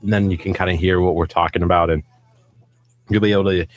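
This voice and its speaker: A man's voice: sits low, steady tone, quick pace. A man with a Texan accent hosting a small local radio show, chatting casually and upbeat with a guest. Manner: chatty, upbeat, trails off while fiddling with social media.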